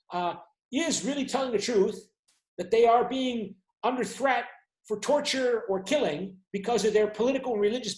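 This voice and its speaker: A man's voice: high-pitched, reverberant, low-quality recording. A man, a university lecturer, explaining at length in an online class and often checking in with his students. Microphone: video call.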